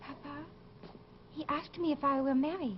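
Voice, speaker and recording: An actress playing a polite, wistful young French innkeeper's daughter in an old radio drama, with a French accent and a demure manner. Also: female voice, sits high, fairly even intonation, moderate pace, lightly acted. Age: young adult. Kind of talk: 1940s radio drama acting. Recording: muffled, noisy old radio recording.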